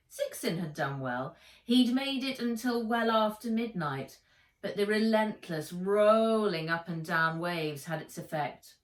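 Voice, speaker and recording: A woman reading a children's adventure story aloud in an expressive, deliberate storytelling manner. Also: on the low side. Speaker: author reading own book aloud. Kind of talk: dramatized children's audiobook narration. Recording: roomy echo, distant microphone.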